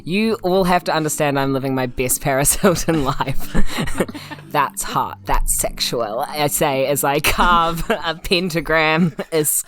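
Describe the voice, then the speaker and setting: A woman's voice: low voice, measured pace, fairly bright tone. A woman with a New Zealand accent chatting casually and playfully as a player in a tabletop game. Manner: playful, jokey. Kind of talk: casual joking table chat.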